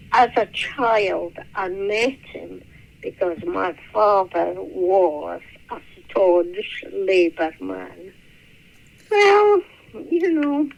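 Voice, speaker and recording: An elderly woman, reminiscing in a halting, musing, creaky voice. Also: mid-range voice, darkish tone. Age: 80s or older. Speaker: interviewee recalling childhood memories. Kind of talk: reminiscing interview. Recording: muffled, lo-fi radio-like sound.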